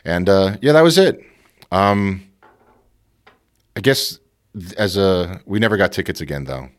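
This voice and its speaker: A low-voiced man tells a story in a casual chat. He is animated and keeps dropping "you know" as he goes, and he acts out the voices of the people in his story. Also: unhurried. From Queens, New York. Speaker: guest.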